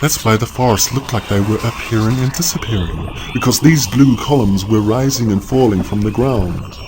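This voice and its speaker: A man playing an explanatory, excitable, grumbling space traveller in a theatrical science fiction audio drama. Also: lowish voice, normal speed, noisy background, close to an everyday voice. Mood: puzzled, observant. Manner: theatrical, expressive.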